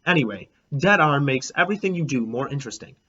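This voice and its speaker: Guy reading a kids book out loud, real bright punchy voice, expressive, kinda muffled recording. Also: mid-range voice, quick pace, slightly rough. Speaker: teacher reading a children's book aloud. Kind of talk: expressive storybook read-aloud in a child narrator's first person.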